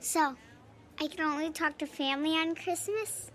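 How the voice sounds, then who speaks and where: A woman's voice: sits high, normal speed, fairly bright tone. A woman playing a curious, cheerful, chatty little girl in a radio drama, innocent and full of questions.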